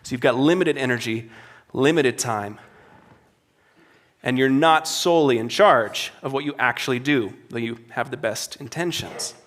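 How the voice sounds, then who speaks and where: A man's voice: mid-range voice, normal speed, a bit bright. Earnest man giving a conference talk. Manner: earnest, conversational, repeats phrases for emphasis. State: slightly raspy voice. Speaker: conference speaker. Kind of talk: encouraging conference talk to worship leaders. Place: conference hall before a live audience.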